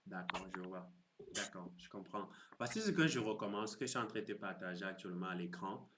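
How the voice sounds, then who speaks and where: A man in his thirties hosting a crypto live stream, chatting with viewers in a relaxed, teasing way and explaining his course. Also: low voice, brisk, background noise. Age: 30s. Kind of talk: casual live stream chat.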